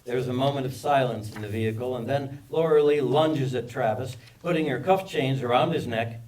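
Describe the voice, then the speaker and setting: A man's voice: mid-range voice, moderate pace, a little mellow. A man reading screenplay stage directions as scripted narration at a live table read. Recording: distant microphone at live reading.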